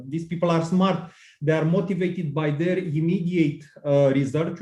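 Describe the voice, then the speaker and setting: Mellow, deliberate male expert. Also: fairly high pitch, echoey room. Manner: deliberate, explanatory, with fillers. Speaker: subject expert guest. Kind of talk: panel discussion.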